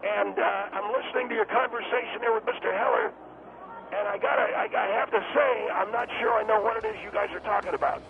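Male caller, very high and swooping voice, gravelly, confused and halting, airport noise behind. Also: sing-song, phone-quality sound. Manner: confused, hesitant, many fillers.

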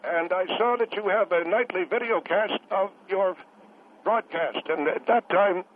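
Male host voicing a courteous elderly veteran caller, high and hesitant, over a tinny phone line. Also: measured pace. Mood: halting, earnest.

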